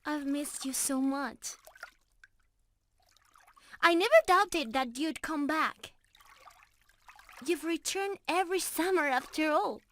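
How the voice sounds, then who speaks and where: Girl voice actor doing a sweet giggly mermaid for asmr roleplay, really high bright voice, talks slow and loving. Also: a little hiss or hum. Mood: tender joy. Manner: sweet, giggly, tender, addressing the listener directly. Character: affectionate, playful young mermaid in love, reunited with beloved. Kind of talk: ASMR roleplay, scripted intimate acting.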